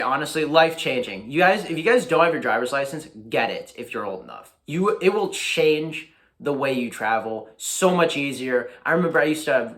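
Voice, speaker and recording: A young American man chatting casually to his viewers in a halting, informal way, thinking aloud as he goes. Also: medium pitch, fairly bright tone. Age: young adult. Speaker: YouTuber. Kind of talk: casual vlog chat to viewers. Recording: music playing behind the voice. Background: background beat music.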